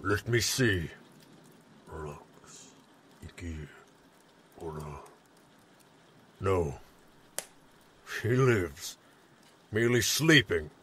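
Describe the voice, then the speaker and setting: A man playing a gentle, formal, scaly reptilian peacemaker in a fantasy audio drama, polite and measured. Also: low-pitched, lively intonation, slight background noise, full character voice. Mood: courteous, calm.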